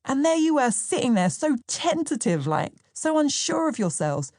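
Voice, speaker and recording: Woman voicing a streetwise young London man, raised pitch. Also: sing-song, moderate pace, a little mellow, no room sound, clean studio sound. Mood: incredulous, emphatic. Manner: slangy, emphatic.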